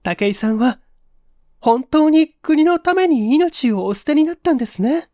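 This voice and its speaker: A man voicing a polite, modest young woman with soft feminine speech in a dramatic reading. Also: extremely high pitch, lively intonation, measured pace, no echo, clean studio sound, pitched above the performer's own voice. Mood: moved, modest.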